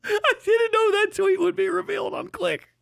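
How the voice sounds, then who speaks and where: A man's voice: very high-pitched, huge pitch swings, normal speed, vocal fry, a bit bright. A young man hosting a livestream, giving crude, mocking reaction commentary and laughing often. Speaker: livestream host. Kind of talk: profane live reaction commentary.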